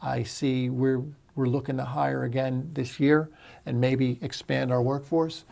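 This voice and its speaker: A middle-aged American man, a fire chief, speaking in a sit-down interview in a halting way, repeating his phrases. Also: mid-range voice, flat delivery, vocal fry, mellow.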